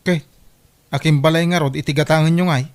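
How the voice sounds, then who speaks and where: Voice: medium pitch, fairly even intonation, fairly quick, natural voice. An actor playing a grumbling, reluctant grown child in an Ilocano-accented radio comedy drama. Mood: protesting.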